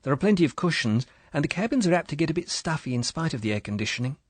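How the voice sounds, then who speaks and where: A man voicing a young, pretty English wife who talks in a soft, chatty, apologetic way. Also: fairly high pitch, very animated, racing, slightly rough, no room sound, voice pushed up. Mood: eager.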